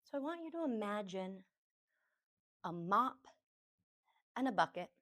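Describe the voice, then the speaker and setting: A woman yoga teacher leading a guided meditation, giving gentle, unhurried instructions in short phrases with pauses between them. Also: medium pitch, sing-song.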